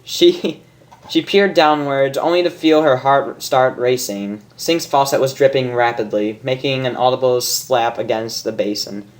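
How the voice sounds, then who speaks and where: A woman's voice: extremely low pitch, steady tone, a little slow. A woman narrating a story informally as a fan fiction reader, stumbling over lines and rereading them with self-mockery. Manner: stumbling, re-reads botched lines, self-mocking.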